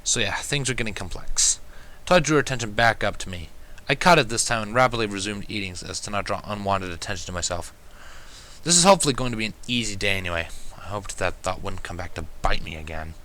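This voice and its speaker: A man's voice: lowish voice, expressive, fast, bright, forceful tone, rough recording. A man reading a first-person fan fiction story aloud as scripted narration.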